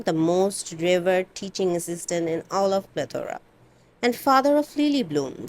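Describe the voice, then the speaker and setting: A woman reading a first-person novel aloud in an expressive, dramatic amateur audiobook style. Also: on the low side. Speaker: amateur book reader.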